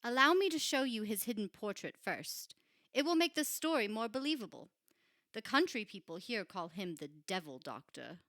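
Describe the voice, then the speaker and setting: A woman acting in a radio play as a grave, knowing churchwoman, telling of dark things in a measured, dramatic way. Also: a little high, big pitch swings, no echo, clean studio sound. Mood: ominous. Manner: measured, solemn, dramatic storytelling.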